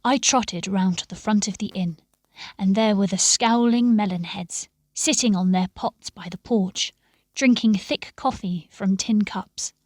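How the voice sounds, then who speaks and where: Slow, hushed woman storyteller. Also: medium pitch, dry recording, studio quality. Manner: measured, expressive, hushed phrase endings. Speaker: story reader. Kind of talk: scripted storytelling narration.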